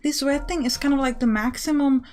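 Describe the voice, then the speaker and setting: A woman's voice: high-pitched, fairly even intonation, a little fast, a little mellow. A woman giving casual let's play commentary, explaining a game as she plays it.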